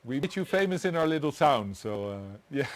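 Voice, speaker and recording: Halting man hosting an interview. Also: a little high, big pitch swings. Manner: halting, many restarts and chuckles. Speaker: host. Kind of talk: live online interview. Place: home set with doors open.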